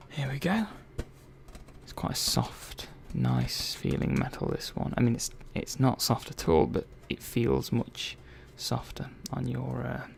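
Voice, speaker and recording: A man plays a gently chatty, curious technician who tends to robots in an ASMR roleplay. His soft voice is mellow and heavily fried, and he thinks aloud in an unhurried murmur. A faint noise sits behind him. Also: medium pitch, creaky, heavily acted.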